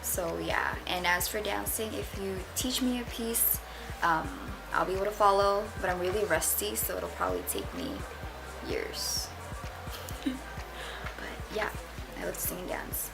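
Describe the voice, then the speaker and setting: A woman's voice: lowish voice, vocal fry. A twenty-year-old woman from the Philippines, chatting casually and candidly as a vlogger introducing herself. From Philippines, moved to the United States at eleven. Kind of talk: casual get-to-know-me chat. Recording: music playing behind the voice. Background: music.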